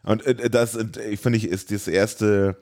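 Low-voiced man in playful casual chat. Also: fairly even intonation, unhurried, darkish tone. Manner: colloquial, playful, acts out short reactions. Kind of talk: casual film discussion.

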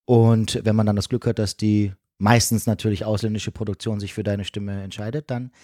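A man's voice: fairly low pitch, level tone, normal speed, warm tone, no room sound, studio quality. A man with a German accent speaking casually as a podcast guest and voice actor, explaining his work with emphatic repetitions. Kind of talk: casual conversational explanation of the craft.

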